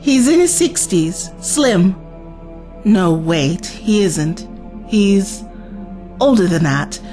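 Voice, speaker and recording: Woman acting earnest young traveller, guarded, hesitant, slow but expressive, bit of music behind. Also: medium pitch. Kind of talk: audio drama acting.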